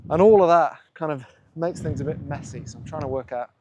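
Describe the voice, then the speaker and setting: A man's voice: a little high, a little fast, a little mellow, slight background noise. Thoughtful man musing aloud outdoors. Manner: musing, thinks aloud while working. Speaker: photographer and channel host. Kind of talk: casual vlog narration on location. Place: outdoors on a windy coast.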